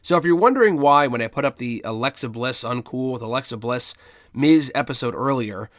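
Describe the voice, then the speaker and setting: A man's voice: mid-range voice, animated, brisk, no room sound, studio quality. A congested man with a cold, giving a blunt, opinionated review with frequent ums and the odd swear word. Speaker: wrestling review podcaster. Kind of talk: casual solo review commentary.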